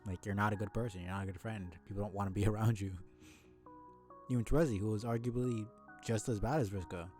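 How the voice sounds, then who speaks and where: A man's voice: on the low side, steady tone, quick pace, darkish tone, soft background music. A man streaming a voiced webcomic reading, giving blunt, sweary and opinionated casual commentary.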